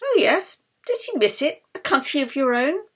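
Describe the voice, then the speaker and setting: A woman's voice: sits high, sing-song, moderate pace, voice pushed up. A woman reading an audiobook, voicing a blunt, cheeky, nosy London ambulance man. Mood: nosy, suspicious. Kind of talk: audiobook character dialogue.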